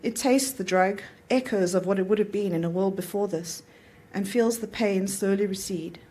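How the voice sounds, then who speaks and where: A woman's voice: mid-range voice, normal speed, warm tone. A woman reading a literary short story aloud at an event, in the narrator's voice. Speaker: author reading own story.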